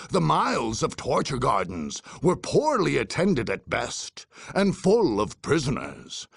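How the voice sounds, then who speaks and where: An actor playing a grave, portentous narrator of a dark war chronicle in a game cutscene, with a gravelly, husky voice and measured delivery. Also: male voice, medium pitch, no room sound, studio quality, heavily acted.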